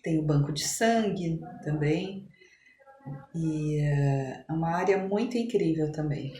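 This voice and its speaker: A woman's voice: sits low, unhurried, warm tone. A middle-aged Brazilian woman chatting casually as an interview guest, reminiscing in a conversational way. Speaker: podcast guest, physician. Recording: roomy echo, clear background noise.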